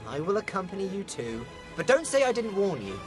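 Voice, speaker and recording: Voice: medium pitch, sing-song, brisk, music in the background, lightly acted. Wildly swooping, wary fantasy ranger played by an actor. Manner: wary, foreboding. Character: cautious, wary young ranger warning of evil. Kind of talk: amateur fantasy audio play acting.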